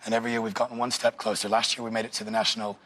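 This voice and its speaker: A man in his thirties with an American accent softened by years in Wales, a quiz contestant who hesitates and reasons out loud. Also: medium pitch, flat delivery, racing. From northwest United States. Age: 30s. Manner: hesitant, thinks aloud, repeats words. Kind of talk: quiz show chat.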